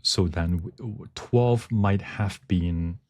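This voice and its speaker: A man giving a technical presentation, speaking haltingly as he searches for words. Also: low voice, darkish tone. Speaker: conference presenter. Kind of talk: technical talk with live demonstration.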